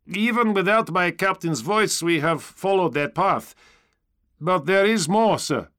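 A cheerful foreign trooper, talks in a high, bright voice with lively swoops. He is polite, eager and optimistic, phrasing things like a non-native speaker in a war audiobook. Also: unhurried, exaggerated voice. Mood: polite, upbeat. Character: cheerful, chatty, optimistic young male foreign stormtrooper.

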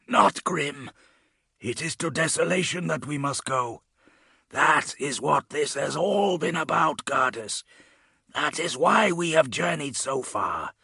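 A man voicing a desperate holy warrior leader in a fantasy audiobook, declaiming with loud, impassioned conviction. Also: a little high, creaky, husky, no echo, clean studio sound, pitched above the performer's own voice, heavily acted.

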